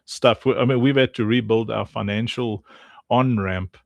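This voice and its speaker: A man's voice: mid-range voice, steady tone, moderate pace, vocal fry, dry recording, highs cut off. A man from Africa as an interview guest, talking about his charity work with many ums and restarts. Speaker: guest, charity and game founder. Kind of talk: interview answers.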